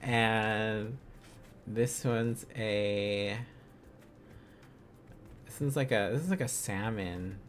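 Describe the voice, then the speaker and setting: A man's voice: fairly low pitch, very slow, pauses often, faint music behind. A man livestreaming in a casual, playful way, thinking aloud as he chats to viewers. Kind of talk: casual livestream study chat.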